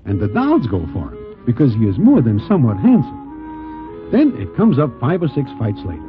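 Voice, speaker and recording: Swooping, streetwise New storyteller. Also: male voice, medium pitch, wildly swooping pitch, moderate pace, over music. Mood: wry storytelling. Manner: stiffly formal slang, avoids contractions. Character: streetwise Broadway guy narrating gangster tales, genial and wry. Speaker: narrator and lead character. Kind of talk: 1940s radio acting, slangy first-person storytelling. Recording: old 1940s radio recording, thin phone-like sound.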